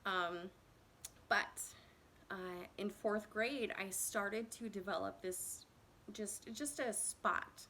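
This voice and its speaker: A woman's voice: medium pitch, fairly bright tone, slight background noise. A woman in her thirties from Indiana tells her own story to a phone camera in a casual, rambling way, with many fillers and self-conscious laughs. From Indiana, USA. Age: 30s.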